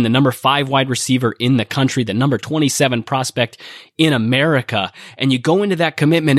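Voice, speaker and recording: Energetic male podcast host with a cold. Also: medium pitch, no room sound, clean studio sound. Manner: energetic, emphatic, repeats phrases for stress. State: has a cold, nasal. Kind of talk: recruiting news podcast monologue.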